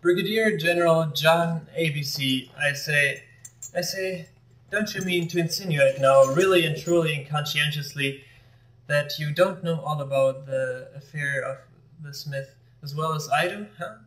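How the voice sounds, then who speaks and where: A man voicing an affected, foppish gentleman who rambles and dodges questions. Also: fairly high pitch, measured pace, voice pushed down. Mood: evasive. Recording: echoey room, mic far off.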